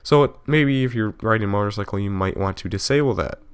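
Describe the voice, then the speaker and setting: Casual, clear-voiced man giving a tutorial. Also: on the low side, fast. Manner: informal, self-correcting, explains step by step. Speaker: video tutorial host. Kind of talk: casual software tutorial narration.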